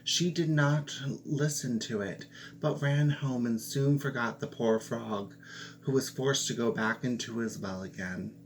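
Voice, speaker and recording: A man reading a fairy tale aloud, slow and expressive, with playful asides. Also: medium pitch, slight background noise, rough recording. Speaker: storyteller.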